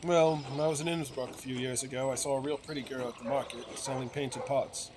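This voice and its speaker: A man's voice: mid-range voice, fairly even intonation, brisk, background noise, close to an everyday voice. A man voicing a chatty, earnest village gossip in a game, speaking in an emphatic, folksy way. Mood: wistful. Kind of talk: game dialogue read aloud in character.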